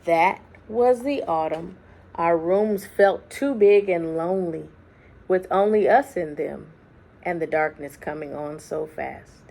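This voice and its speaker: A woman reading a children's story aloud expressively, with deliberate dramatic phrasing and hushed, drawn-out words. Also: fairly low pitch, vocal fry. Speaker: children's book reader. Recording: faint background noise.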